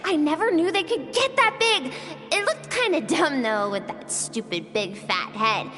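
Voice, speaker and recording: An actress voicing a bold, restless young girl in a game, cheeky and excitable. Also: high voice, unhurried. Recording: game audio with long reverb and music.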